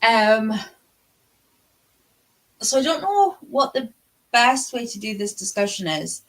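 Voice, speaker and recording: A woman's voice: a little high, big pitch swings, slow, a bit bright. A woman co-hosting a book readalong live stream, chatting casually and rambling with frequent asides. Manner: easily sidetracked, chatty asides. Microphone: live-stream video call.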